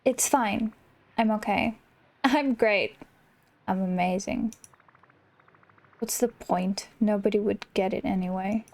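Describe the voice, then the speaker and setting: Sullen, misunderstood game shadow self, acted by a woman: lowered pitch, drawn-out, withdrawn. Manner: flat, sulky, drawn-out. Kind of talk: reading video game dialogue in character.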